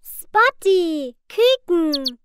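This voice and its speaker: A woman's voice: very high voice, big pitch swings, heavily acted. A woman voicing a cheerful little girl adventurer in a preschool cartoon, speaking simply and encouragingly to young viewers. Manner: slow, simple, encouraging delivery.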